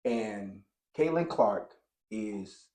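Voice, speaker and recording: Slow, animated male podcast host. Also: on the low side, roomy sound. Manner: enthusiastic, repeats affirmations. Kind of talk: casual podcast interviewing.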